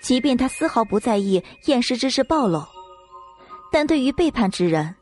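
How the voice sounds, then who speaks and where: Higher-pitched woman narrating a novel. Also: big pitch swings, moderate pace, darkish tone, soft background music. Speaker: audiobook narrator. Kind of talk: scripted dramatic novel narration.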